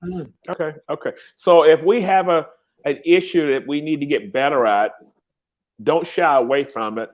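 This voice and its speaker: A man's voice: on the high side, normal speed. A man hosting a casual interview, encouraging his guest with short affirmations and steering the conversation. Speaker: host.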